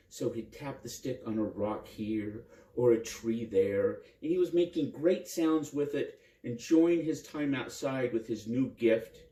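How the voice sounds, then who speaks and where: Male storyteller, children's storytime, lively swooping intonation, soft mellow tone, roomy distant sound. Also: medium pitch. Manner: animated, simple and expressive, with dramatic repetition for children. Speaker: priest and storyteller. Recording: roomy echo, distant microphone.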